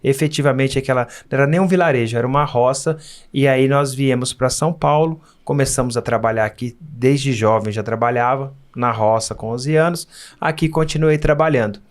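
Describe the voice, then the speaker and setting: A man's voice: mid-range voice, fairly quick. Earnest Brazilian man telling his story. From Minas Gerais, Brazil. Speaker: podcast guest, lawyer. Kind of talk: casual interview storytelling.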